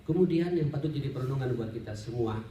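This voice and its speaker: A male Islamic preacher, heard in an echoey room at a distance from the mic. His voice is on the mellow side, and he teaches in a conversational sermon style, addressing listeners directly. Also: medium pitch, animated, quick pace. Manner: conversational and didactic, often addresses listeners directly, rhetorical questions. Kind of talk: sermon, study-circle lecture with Arabic recitation. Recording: roomy, distant mic, faint background noise.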